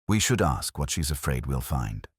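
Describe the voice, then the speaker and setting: A man voicing a mild, shrewd English police inspector in a mystery story, speaking gently, smoothly and politely. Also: a bass voice, very animated, normal speed, no room sound, clean studio sound, full character voice. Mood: polite, measured. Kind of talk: audio mystery story dialogue.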